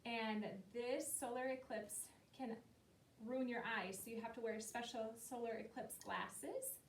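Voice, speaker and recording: Patient teacher woman, roomy distant sound. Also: steady tone. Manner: slow, patient explaining with questions to kids. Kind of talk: classroom lesson talk to young students. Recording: roomy and distant, faint rumble.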